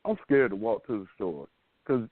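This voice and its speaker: A man's voice: on the low side, big pitch swings, moderate pace, crowd noise. A man in his forties chatting casually as a panelist on a talk show. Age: 40s. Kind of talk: casual chat. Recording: tinny, phone-like band-limited audio.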